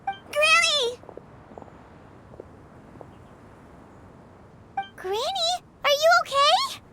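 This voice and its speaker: A woman voicing a small, chirpy fairy companion with a childlike, expressive delivery in a video game. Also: very high voice, slow, background noise, exaggerated voice. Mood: worried, surprised. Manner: speaks of herself in third person, expressive. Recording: music behind the voice.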